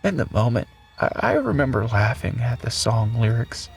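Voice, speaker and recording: Man acting shaken dying survivor, swinging expressive pitch. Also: moderate pace, soft background music. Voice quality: raspy, coughing. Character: dying male nuclear blast survivor recording last words. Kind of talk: dramatic audio story monologue.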